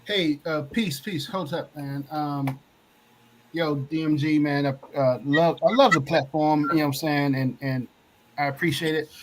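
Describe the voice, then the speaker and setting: A man with a slightly high voice speaks softly and hesitantly on a live panel. He halts often with fillers as he explains his views. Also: a little slow, a little hiss or hum, poor audio. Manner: soft-spoken, hesitant, many fillers. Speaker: panel guest.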